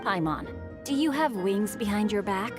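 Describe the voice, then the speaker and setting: A woman voicing a polite, bookish, gently sly young boy poet in a video game, with eloquent, formal, measured speech. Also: medium pitch, expressive, lightly acted. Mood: thoughtful. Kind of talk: video game character acting. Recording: music behind.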